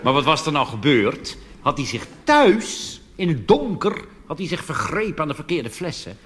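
Dutch cabaret comedian in a reverberant hall. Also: male voice, on the high side, normal speed. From Netherlands. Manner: deadpan punchlines with animated put-on voices. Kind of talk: stand-up monologue. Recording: reverberant hall with audience noise.

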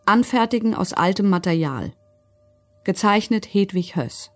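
A woman reading out a written household order. Her voice is mid-pitched, and she goes through it item by item, formal and clipped. It is a scripted radio drama reading with faint music behind. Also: animated, unhurried. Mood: businesslike.

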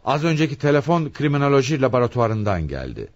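An actor playing a stern, hard-pressed police commissioner in a radio play, speaking in an insistent, commanding way. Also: male voice, medium pitch, very animated, fast talker, dull audio, lightly acted. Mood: firm, probing. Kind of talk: radio theatre acting.

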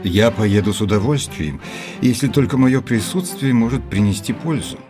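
Composed gentleman narrator over music played by man. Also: fairly low pitch, normal speed, natural voice. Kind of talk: scripted dramatic narration.

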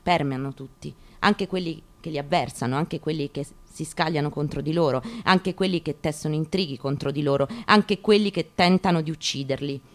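A woman's voice: sits low, a little fast, few pauses. A woman performing a dramatic literary reading in a solemn, declamatory manner, phrase by phrase. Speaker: actress reading on stage.

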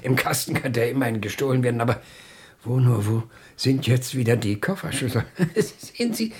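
A fussy old lodger in a radio play. His voice is badly husky and leaps about in pitch as he stammers, repeats himself and chuckles in confusion. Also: animated, fairly quick, a little mellow. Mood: flustered, cheerful. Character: muddled, fussy old hotel lodger forever misplacing things. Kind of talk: German radio play acting.

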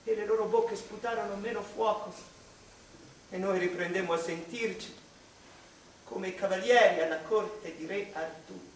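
An actor playing a wistful, anguished male mathematician in a theatrical radio monologue, speaking to his mother with halting, dramatic delivery. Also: sits high, steady tone, slight background noise. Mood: reflective. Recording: echoey room, distant microphone, music behind.

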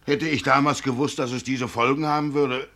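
An actor playing a poor, emotional farmhand who has just become a father, in a German radio play, with a rough voice. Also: mid-range voice, steady tone, moderate pace. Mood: indignant. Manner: emotional, brooding, swinging from loud complaint to murmur. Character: poor, grumbling farmhand turned tender, anxious new father.

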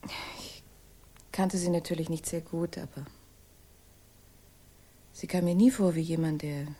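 An actress playing a frank, probing young woman in a 1960s German radio crime drama, speaking deliberately. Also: lowish voice, fairly even intonation, dark tone, slightly rough. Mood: probing, hurt.

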